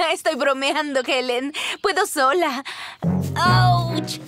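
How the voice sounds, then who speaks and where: A woman playing a plucky, good-humoured young female firefighter in an animated series dub, with an expressive, brave-faced delivery. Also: high-pitched, takes it slowly. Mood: teasing. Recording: loud music behind the dialogue.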